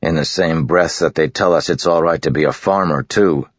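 A man's voice: extremely low pitch, normal speed, no echo. A man reading aloud, voicing a scowling, sullen boy with a sour, grumbling way of talking. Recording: dull lo-fi recording.

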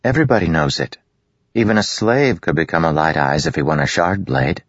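A man's voice: rumbling low, brisk, no echo. A man reading aloud, voicing a confident girl with a knowing, teasing way of talking. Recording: dull lo-fi recording.

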